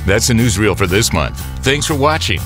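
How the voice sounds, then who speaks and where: A man's voice: on the low side, sing-song, normal speed, fairly bright tone. A man presenting a newsreel with scripted narration. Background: music.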